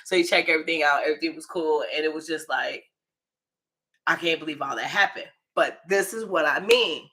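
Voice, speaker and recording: Bright-voiced American woman telling stories. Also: low-pitched, a little fast. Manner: slangy and profane, acts out quoted dialogue, checks in with the listener. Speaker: storyteller telling a personal story. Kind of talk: casual, animated personal storytelling.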